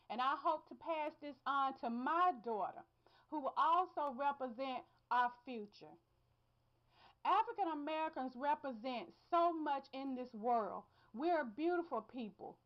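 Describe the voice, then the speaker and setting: A woman with a Southern US accent speaks in a high, earnest, measured voice. She is a radio host reflecting outdoors in a large crowd. The sound is muffled, like a dull field recording. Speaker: radio show host reporting. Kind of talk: reflective spoken commentary.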